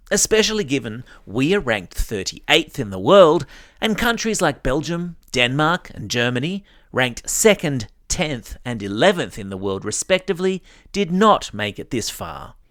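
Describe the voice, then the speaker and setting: A man's voice: fairly high pitch, a bit bright, no echo, studio quality. An Australian man hosting a news podcast for children, reading scripted stories in a chatty, playful way and speaking straight to young listeners. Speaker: podcast host.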